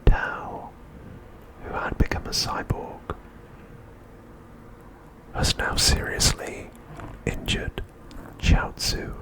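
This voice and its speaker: A man narrating a script as ASMR in a breathy, unvoiced whisper, softly and deliberately, pausing often between phrases. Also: poor audio. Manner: soft, deliberate, with frequent pauses between phrases.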